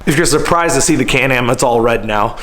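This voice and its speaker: A young man with a raspy, hoarse voice from a cold, chatting casually and upbeat in his home garage vlog. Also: medium pitch, brisk, a bit bright, slight background noise. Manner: chatty, upbeat and self-deprecating. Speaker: vlogger. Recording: music playing under the voice. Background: music.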